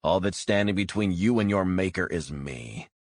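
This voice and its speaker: A man voicing a hard male interrogator who talks slowly and threateningly. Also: low voice, animated, dry recording, studio quality. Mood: menacing. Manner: cold, slow and threatening.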